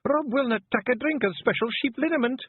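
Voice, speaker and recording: A man voicing a tiny, fierce fairy clan matriarch with a broad Scottish accent and a sharp, commanding delivery. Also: very high-pitched, a little fast, husky, no room sound, pitched above the performer's own voice. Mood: alarmed. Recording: phone-like narrow band.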